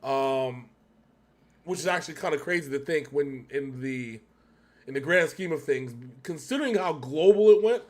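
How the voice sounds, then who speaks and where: A man's voice: on the high side, lively intonation, normal speed, a little mellow. An American man hosting a reaction show in casual, slangy, rambling chat.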